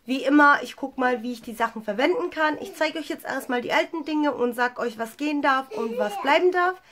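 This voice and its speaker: A woman's voice: high voice, a little fast, a crowd in the background. A young woman with a cold, chatting enthusiastically about her makeup with lots of fillers, recorded by a camera in a small room at home. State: has a cold. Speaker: beauty vlogger. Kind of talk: casual makeup review.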